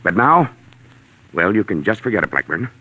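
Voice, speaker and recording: A man playing a driven, commanding gentleman with formal, forceful diction in 1940s radio drama. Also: on the low side, sing-song, moderate pace, breathy edge, heavily acted, low-quality recording. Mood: indignant, determined.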